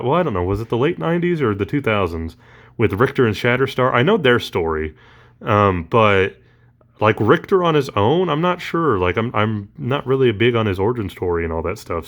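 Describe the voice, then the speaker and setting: A man's voice: on the low side, fairly bright tone. A man talking casually through a toy unboxing, rambling with lots of ums and uhs, his voice recently back after allergies.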